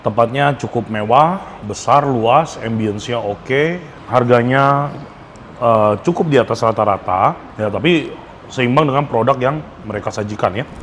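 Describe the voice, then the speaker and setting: A man's voice: mid-range voice, measured pace, a bit bright. A man with a Jakarta Indonesian accent gives a casual, enthusiastic food review, speaking slangily and mixing in English phrases. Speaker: food vlogger. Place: restaurant. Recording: clear background noise, restaurant ambience.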